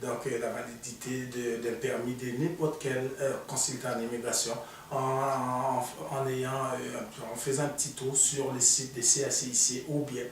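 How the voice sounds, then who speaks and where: A man's voice: mid-range voice, little pitch movement, measured pace, slight background noise. Man speaking in a very echoey room. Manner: talks through his own experience, with fillers. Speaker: immigration consultant, guest. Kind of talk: explaining on a panel. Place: studio. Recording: echoey room, mic far off.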